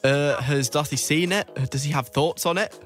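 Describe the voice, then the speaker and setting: A man's voice: a little high, moderate pace. Chatty man hosting radio over music. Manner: chatty, informal. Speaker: radio show host. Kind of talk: casual radio presenting and interviewing.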